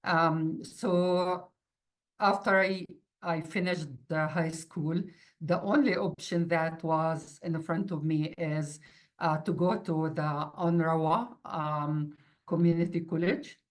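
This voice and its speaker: Slow-speaking woman with an Arabic accent. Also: low-pitched, steady tone. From Palestinian refugee from the Middle East. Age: middle-aged. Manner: halting, searching for words. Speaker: university mathematics instructor. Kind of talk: informal interview, personal account.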